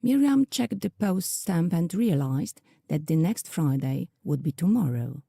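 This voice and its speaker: A woman's voice: deep voice, animated, unhurried, vocal fry, dark tone, slightly rough, no room sound, clean studio sound. A woman narrating an audio drama from a script, telling the story in the third person.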